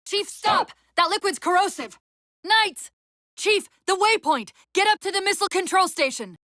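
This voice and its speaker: An actress playing a female military AI companion in a video game, urgent and witty but fraying under strain, with crisp tactical delivery and dry sarcasm. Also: extremely high pitch, expressive, moderate pace, sharp tone, no echo, full character voice. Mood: urgent, commanding. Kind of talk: dramatic game voice acting.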